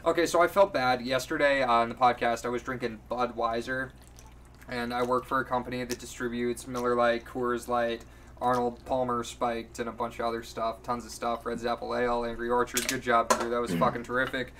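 A man's voice: medium pitch, little pitch movement, a little fast, a bit bright. A man in his twenties co-hosting a casual podcast, boisterous and joking, with slangy, profane talk. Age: 20s. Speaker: podcast co-host. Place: furnished home room, indoors. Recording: hissy room noise, distant mic.

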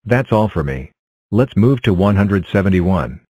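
A man plays a matter-of-fact male number block from a children's counting cartoon. His voice is fairly low and stiffly recited, recorded dry with no echo. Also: animated, a little slow, darkish tone.

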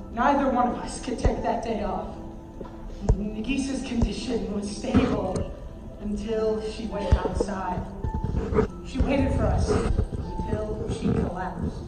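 A young man playing a reflective, devoted father, telling his story earnestly in a stage play. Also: sits high. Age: young adult. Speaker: amateur stage actor. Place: theater stage. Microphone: far audience camera. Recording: distant echoey theater hall, music behind.

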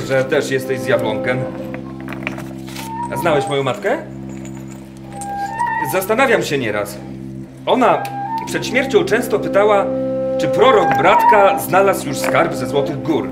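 An actor in a radio play voicing a condescending German camp officer who collects birds, halting and musing between commands. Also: male voice, a little high. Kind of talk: radio drama acting. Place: wooden barn, live performance. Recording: roomy barn sound with music behind. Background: music.

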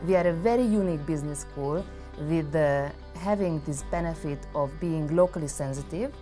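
A woman's voice: deep voice, normal speed, mellow, soft background music. A woman in her thirties, a school administrator, speaking earnestly in a promotional interview in an indoor lounge.

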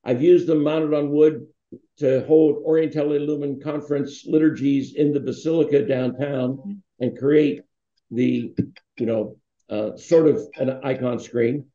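An older man reminisces in a mellow, warm voice, wandering through anecdotes with plenty of fillers. His webcam audio is a bit dull and sounds roomy, as if from an open room at home. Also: medium pitch, steady tone, measured pace. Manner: rambling, anecdotal, with many fillers. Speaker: foundation founder, interviewee. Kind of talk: relaxed storytelling. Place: home room with wall hanging. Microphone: video call webcam.

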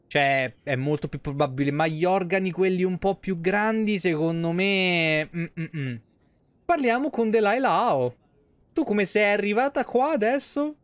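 High-pitched Roman man chatting on stream. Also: moderate pace, dry recording. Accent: Roman Italian. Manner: colloquial, swearing, animated digressions. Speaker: game streamer. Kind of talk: casual livestream commentary, chatty. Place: small indoor streaming room.

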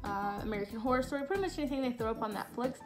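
Bubbly woman in her thirties, webcam intro, music softly underneath. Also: fairly high pitch, rapid-fire. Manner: bubbly, rambling. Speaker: contestant. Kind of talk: casual self-introduction. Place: bedroom at home.

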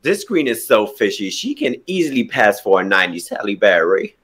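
A man's voice: on the low side, very animated, fairly quick, fairly bright tone, breathy edge. A man with a German accent, a drag queen guest on a video call, trading campy insults with laughter. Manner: sassy, laughing, campy delivery of example insults. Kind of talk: playful video call chat.

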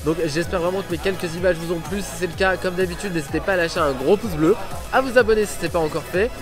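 Young guy w doing a casual vlog, kinda high voice, excited, music playing behind him. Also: fast talker. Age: 20s. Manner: enthusiastic, informal. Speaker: video game vlogger, host.

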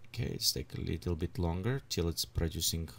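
A man with leading a software tutorial at his computer, thinking aloud as he adjusts settings. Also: low-pitched, vocal fry. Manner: unhurried, thinks aloud while clicking through settings. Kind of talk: screen-recorded software tutorial.

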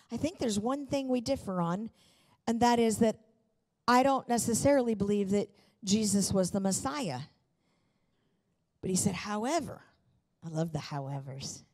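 A woman's voice: a little high, darkish tone. Fervent middle-aged woman preaching. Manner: emphatic and fervent, with repeated phrases. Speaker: pastor preaching to a congregation. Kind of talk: sermon with personal storytelling. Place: large church auditorium, on stage. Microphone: handheld microphone.

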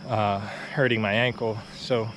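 A man's voice: fairly low pitch, unhurried, a little hiss or hum, rough recording. A young man chatting casually with many fillers as he walks a rural road, a little out of breath, filmed on a camera held at arm's length. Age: young adult. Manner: relaxed and chatty, with many fillers and short laughs. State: slightly out of breath from walking uphill. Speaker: vlogger. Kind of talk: casual walking vlog. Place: outdoors on a quiet rural road, walking. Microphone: phone or action camera held at arm's length.

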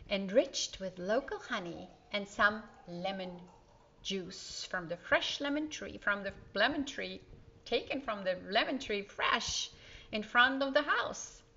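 A woman's voice: medium pitch, bright, forceful tone. A middle-aged woman reading a children's story aloud, expressively, with chatty questions to young listeners. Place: indoor living room. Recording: dull, slightly lo-fi sound.

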